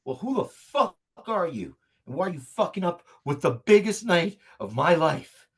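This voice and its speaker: A woman's voice: sits high, moderate pace, darkish tone. A woman reading the part of a blustering, panicky, foul-mouthed villain in a comedy table read. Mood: indignant.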